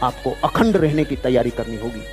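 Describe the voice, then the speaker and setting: A man's voice: medium pitch, expressive, brisk. A man delivering a motivational speech, emphatic and declamatory, repeating phrases for effect. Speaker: sales motivational speaker. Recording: music behind the voice. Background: music.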